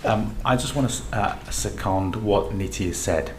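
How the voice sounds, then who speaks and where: Brief: male board member, very low voice, earnest and emphatic, noisy recording. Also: moderate pace. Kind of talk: formal meeting contribution. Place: board meeting room. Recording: noisy meeting-room recording.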